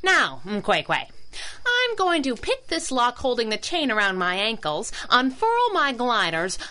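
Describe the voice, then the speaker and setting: A woman's voice: a little high, huge pitch swings, measured pace, bright, forceful tone, full character voice. A woman playing a cocky, wisecracking young heroine in a pulp radio drama, sassy and teasing. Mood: gleeful taunting.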